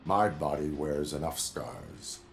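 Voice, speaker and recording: A man playing a stern robotic cyborg police officer in a video game, speaking in a formal, clipped, deadpan way. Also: very deep voice, takes it slowly, slight background noise, heavily acted. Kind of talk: video game cutscene acting.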